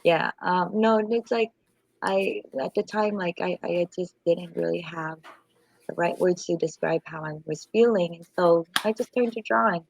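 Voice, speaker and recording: A woman's voice: lowish voice, moderate pace, vocal fry, low-quality recording. A woman from Asia, a native Vietnamese speaker, chatting casually as a podcast guest, her voice off from a lingering illness. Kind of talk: casual chat.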